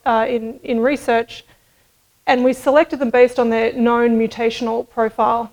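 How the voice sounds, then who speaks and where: A middle-aged woman giving a scientific conference talk at a podium microphone, explaining in a measured way with some hedging. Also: on the high side, a little mellow, low-quality recording. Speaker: medical researcher presenting at a conference. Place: indoor conference room, at a lectern.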